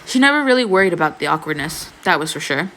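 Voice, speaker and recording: A woman's voice: low-pitched, very animated, quick pace, fairly bright tone, rough recording. A young adult woman reading fan fiction as an amateur narrator. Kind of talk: expressive scripted story narration, casual asides.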